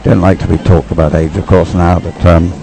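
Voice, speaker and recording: A man's voice: low voice, level tone, a little fast, creaky, over music. A man giving unscripted live race commentary in a rambling, hesitant way, often thinking aloud. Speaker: sports commentator.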